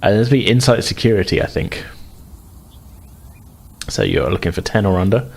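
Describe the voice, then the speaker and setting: Low-pitched man as game master. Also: normal speed, vocal fry, slightly rough. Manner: hesitant, explanatory. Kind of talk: improvised tabletop game narration.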